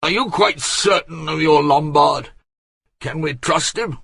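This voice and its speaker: A man's voice: fairly high pitch, unhurried, gravelly, a bit bright, husky, no room sound, voice pushed up, exaggerated voice. A man voicing a fat, fussy older nobleman with a head cold, groaning and complaining. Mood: grumbling.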